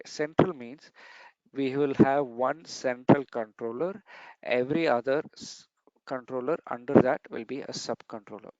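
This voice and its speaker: A man's voice: mid-range voice, a little mellow, no echo, lo-fi sound. A man giving a technical training lesson over slides, explaining step by step and repeating key points.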